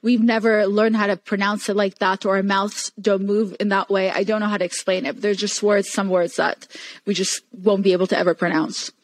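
A young woman whose first language is Hebrew talks openly as a podcast guest, telling her story in a candid, earnest way. Her voice is mid-pitched. The sound is dry and close. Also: little pitch movement, fairly quick, no echo, rough recording. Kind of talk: casual podcast conversation.

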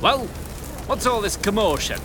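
Man as pompous folksy landlord-mayor, highish voice, hugely swooping delivery, cordial, blustery, audible background ambience. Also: very animated, normal speed, noisy background. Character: genial, pompous small-town mayor and landlord. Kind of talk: audio drama acting.

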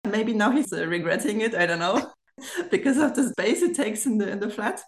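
A woman's voice: mid-range voice, normal speed, slight background noise. A woman in her thirties from Germany with a German accent, chatting casually as a podcast guest. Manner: chatty, giggles often as she talks. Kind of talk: casual chat. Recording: roomy, echoey remote recording.

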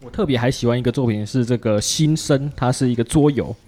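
A man's voice: medium pitch, a little fast, warm tone. A man hosts a casual conversational interview in a mid-range voice with a Taiwanese Mandarin accent. He is informal and opinionated, with a wry way of putting things. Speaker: podcast host.

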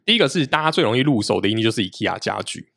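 Low-voiced man, chatty guest. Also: sing-song, very fast, creaky, fairly bright tone, dry recording, studio quality. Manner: explains at length with frequent restarts and fillers. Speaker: podcast guest. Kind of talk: casual conversational interview.

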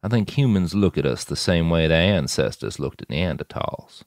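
A man's voice: deep voice, lively intonation, normal speed, no echo, clean studio sound. A man voicing a cocky, commanding post-human mercenary boss in an audiobook, with a folksy, speechifying manner. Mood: musing, superior.

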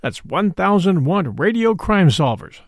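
A man's voice: sits high, very animated, a little slow, dry recording, studio quality. A man hosting a classic radio podcast, giving a friendly, upbeat sign-off. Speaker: podcast host. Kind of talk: podcast outro.

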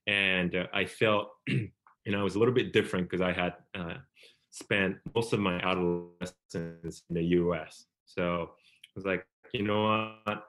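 A Japanese man in his thirties speaks English as a second language, in a voice pitched very low. He tells his story as an interview guest in a relaxed, hesitant way, full of fillers and restarts. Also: a little slow. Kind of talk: conversational storytelling.

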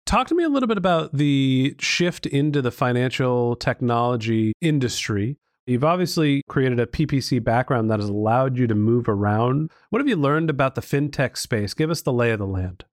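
A man's voice: medium pitch, expressive, moderate pace, no echo. A male podcast host with a mid-range voice, chatting with a guest in a curious, easygoing way. He readily admits what he does not know. The recording is dry and close. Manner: curious, openly self-deprecating about finance. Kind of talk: conversational interview.

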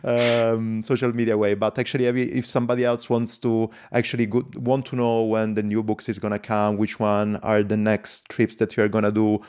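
Man, podcast host, enthusiastic, lowish voice. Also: monotone, normal speed, hardly any pauses, darkish tone, clear, resonant tone. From lives in Switzerland. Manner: enthusiastic, non-native phrasing. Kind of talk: casual podcast interview.

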